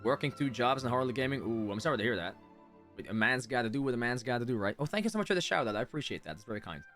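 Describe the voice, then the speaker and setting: A man livestreaming a game, chatting casually with viewers and thinking out loud as he reacts. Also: medium pitch, expressive, rapid-fire, soft background music. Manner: chatty, reads chat aloud, thinks out loud. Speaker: game streamer.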